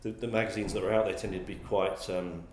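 A man speaking in a fairly low voice, talking through his answers in a measured way. He often restarts and repeats words as he thinks. The sound is echoey, with a faint hiss behind him. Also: level tone, darkish tone. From England. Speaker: guest, magazine publisher. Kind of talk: conversational interview answers.

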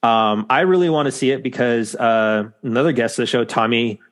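A man's voice: medium pitch, a little fast. A man hosting a podcast in casual chat, rambling with lots of fillers. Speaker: podcast host.

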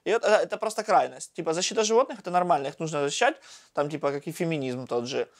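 A man in a casual discussion, talking quickly and lively, often laughing. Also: on the high side. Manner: fast, animated, laughing, acts out quotes.

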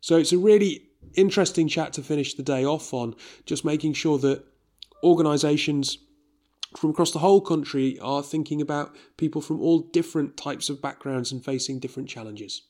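A man's voice: fairly high pitch, brisk, warm tone. A man in his thirties with a North East English accent, narrating as a podcast host and recapping an event in an earnest, reflective way. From North East England. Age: 30s.

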